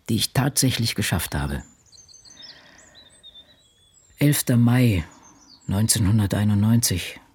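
A man's voice: mid-range voice, darkish tone, a little hiss or hum. A male actor playing an embittered, solitary seventy-year-old woman writer in a radio drama, reading out a diary in dry, clipped, muttered fragments. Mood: brooding.

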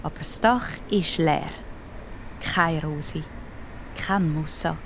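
A woman's voice: deep voice, slow, noisy background. A woman with a Swiss German accent narrating a scripted children's story.

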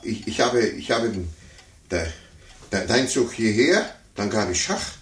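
Pompous chess player, swooping intonation, stammering bluster, roomy old radio recording. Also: male voice, lowish voice, animated, measured pace, fairly bright tone. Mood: flustered, blustering. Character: pompous adult man, a blustering, sore-losing chess player. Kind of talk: 1950s German radio play acting.

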